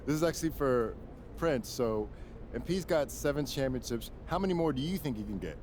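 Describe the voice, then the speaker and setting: A man playing a polite sports reporter, putting questions in a measured press-conference style. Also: medium pitch, lively intonation, darkish tone, a little hiss or hum, lightly acted. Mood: polite, curious.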